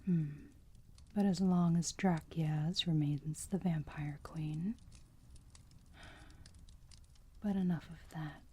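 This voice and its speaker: A woman playing a gentle, protective, motherly vampire in a whispered ASMR roleplay, speaking softly and soothingly in a breathy voice close to the mic. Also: low-pitched, steady tone, exaggerated voice. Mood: earnest, gentle. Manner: soft, slow, cooing, soothing.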